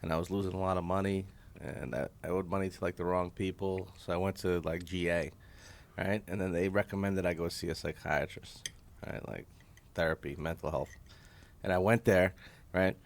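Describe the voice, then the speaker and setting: Candid 30s comic guy, low-ish voice, wry storytelling, swears a lot. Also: fairly even intonation, moderate pace, creaky. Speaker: stand-up comedian guest. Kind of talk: casual chat, storytelling. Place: hotel conference room.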